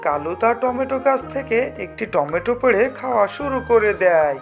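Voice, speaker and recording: A woman's voice: on the high side, lively intonation, normal speed. A woman reading scripted narration for a children's story. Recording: music behind the narration. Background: clear music.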